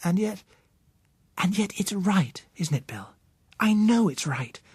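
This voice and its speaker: An earnest young woman from an audiobook novel, as a male reader puts her on, with a raised, husky voice. She is tender and persuasive, stressing her words as she pleads. The sound is close and dry. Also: high-pitched, big pitch swings, slow, a little mellow, dry recording, pitched above the performer's own voice, heavily acted. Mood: earnest conviction. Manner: pleading, emphatic.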